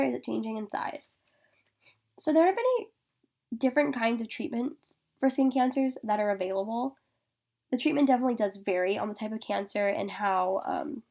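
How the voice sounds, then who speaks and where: Congested young woman with gravelly edge. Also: fairly high pitch, darkish tone. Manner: reading steadily through a list of facts. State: congested from a sinus infection. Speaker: student presenter. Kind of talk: informational class presentation over slides.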